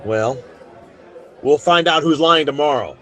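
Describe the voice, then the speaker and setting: An actor playing a plainspoken, fair-minded frontier marshal with authority in a radio western. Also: male voice, fairly high pitch, measured pace, a bit bright, a faint crowd. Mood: stern, measured. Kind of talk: old-time radio western acting.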